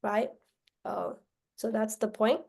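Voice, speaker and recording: Woman from Myanmar giving a sales pitch. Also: slow pace, creaky, darkish tone. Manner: pitch broken up by frequent tag questions and restarts.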